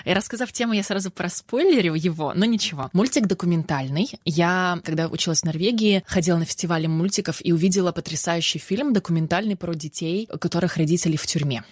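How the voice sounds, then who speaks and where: A woman's voice: on the low side, fast, few pauses, dry recording, clean studio sound. A woman from Russia in her thirties, answering interview questions at length in a reflective, talkative way.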